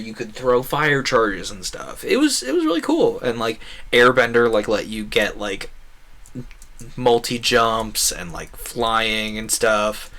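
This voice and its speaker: Casual man rambling while gaming. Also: lowish voice. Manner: animated, acts out quoted conversations. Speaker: video game streamer. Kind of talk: casual rambling gameplay commentary.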